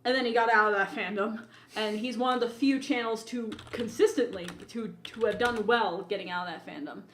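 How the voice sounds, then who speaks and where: A woman co-hosting a casual podcast, telling anecdotes in an animated way and often interrupting herself. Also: a little high, a little fast, slight background noise. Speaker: podcast co-host. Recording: roomy echo, music playing behind.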